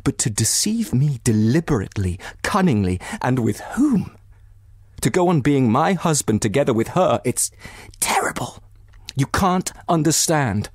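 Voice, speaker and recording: A man voicing a distraught, bitter betrayed wife in a novel, near tears, with anguished, halting and emphatic delivery. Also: medium pitch, big pitch swings, darkish tone, slightly rough, no echo, clean studio sound, voice pushed up. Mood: bitter, hurt.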